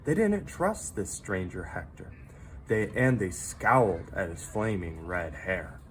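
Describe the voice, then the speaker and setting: A man reads a children's story aloud in an expressive, dramatic storyteller manner, pausing for effect. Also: sits low, slight background noise. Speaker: teacher reading a picture book aloud.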